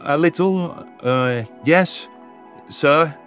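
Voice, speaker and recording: A man's voice: on the high side, drawn-out words, fairly bright tone, faint music behind, pitched above the performer's own voice. A man voicing an anxious, grumbling alien soldier with a condescending streak in a sci-fi audio drama. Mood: nervous, wary. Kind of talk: audio drama character dialogue.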